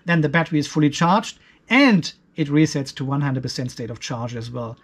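A man with a distinctly high voice and as his walks through a technical comparison. He sounds like a video host in his fifties, explaining details step by step and giving his own opinions. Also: a little fast, slightly rough. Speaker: video host, off-grid tech reviewer. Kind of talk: explanatory tech review over a spreadsheet.

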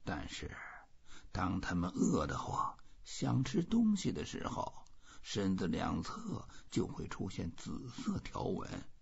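A man's voice: deep voice, huge pitch swings, takes it slowly, gravelly, slightly rough. A man reading a literary novel aloud as scripted audiobook narration, in a dull, muffled recording. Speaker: audiobook reader.

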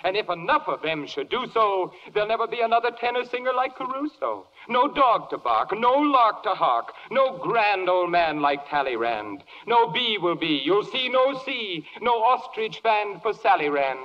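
A man's voice: high voice, big pitch swings, moderate pace, few pauses. A man playing an eloquent, florid, insistent prosecutor in a 1940s radio play in rhymed verse, with theatrical oratory. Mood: grandly alarmed. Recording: dull 1940s radio recording with long reverb.

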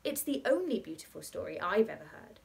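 A woman's voice: mid-range voice, big pitch swings, a little fast, creaky, darkish tone. A woman voicing eager, blunt, curious young children who question insistently in a story reading. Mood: decided.

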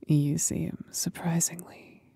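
Seductive demon for ASMR roleplay, played by a woman: husky breathy whisper, creaky low edge, manipulative and mocking. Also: level tone, dark tone, no echo, clean studio sound, heavily acted. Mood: coaxing, menacing. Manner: slow, breathy whispering with deliberate pauses.

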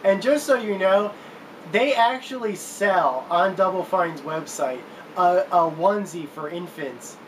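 A man's voice: sits high, measured pace, low-quality recording. A man in his twenties giving casual countdown commentary to camera as a video game reviewer, recorded in a room at home. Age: 20s. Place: small room at home, indoors. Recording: noticeable background hiss.

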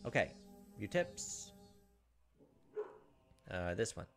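Casting: male gaming host in his 30s, lowish voice, reading story text over faint music. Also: big pitch swings, extremely slow, vocal fry. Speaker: gaming video host reading a visual novel aloud. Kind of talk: narrated let's play, dramatic reading with casual asides. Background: soft game music.

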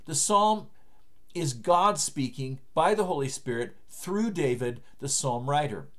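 A man's voice: on the high side, expressive, a little slow. A man in his fifties, a pastor, giving an earnest, emphatic recorded sermon to the camera from a book-lined home study. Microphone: webcam framing, seated at desk.

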